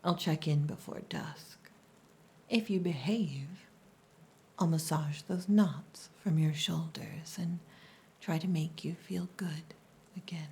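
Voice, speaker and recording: Casting: actress for a female orc girlfriend, ASMR roleplay. Low, breathy whisper, softly commanding, possessive yet tender. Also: a little hiss or hum. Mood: teasing, bossy. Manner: slow, hushed, softly commanding.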